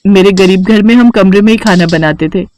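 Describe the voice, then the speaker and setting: A woman's voice: medium pitch, a little fast, darkish tone, lightly acted. Woman acting a humble young daughter-in-law, patient, helpful, reassuring, cartoon storybook. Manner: accepting, reassuring. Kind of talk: Hindi cartoon bedtime-story character acting.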